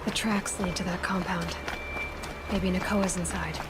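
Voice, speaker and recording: A woman's voice: on the low side, steady tone, unhurried, very noisy, natural voice. An actress playing a young woman hunter in a video game, observant and determined. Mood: focused, wary.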